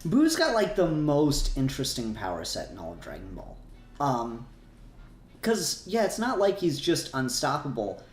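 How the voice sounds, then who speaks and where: A man's voice: medium pitch, wildly swooping pitch, moderate pace. A man in casual, sweary fan discussion as a podcast co-host, rambling along with emphatic repetition. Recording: roomy echo, faint music behind.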